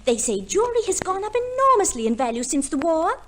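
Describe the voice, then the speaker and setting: An actress playing a breezy, mercenary society wife with an airy, genteel manner in a 1950s radio play, on an old recording. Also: female voice, very high voice, sing-song, brisk, fairly bright tone, slight background noise, heavily acted. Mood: breezy, cool.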